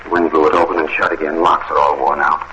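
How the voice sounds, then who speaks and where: An actor with an American accent playing a practical, wry husband in a vintage radio drama. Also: male voice, extremely low pitch, level tone, fast talker, highs cut off. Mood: matter-of-fact. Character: practical, gruffly reassuring husband in a spooky castle. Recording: noisy old radio recording.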